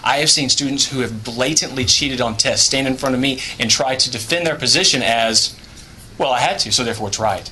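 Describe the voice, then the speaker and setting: A man's voice: mid-range voice, brisk, pushed and bright, a little hiss or hum. A man from Houston giving formal, polite testimony before a committee and defending his point under questioning. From Houston, Texas. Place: legislative hearing room.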